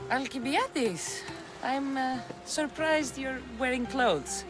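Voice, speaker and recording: A woman's voice: sits high, slow pace, over music, poor audio. A woman playing a tough, wry Greek mercenary in a video game, with a dry, sardonic way of talking. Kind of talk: video game character acting.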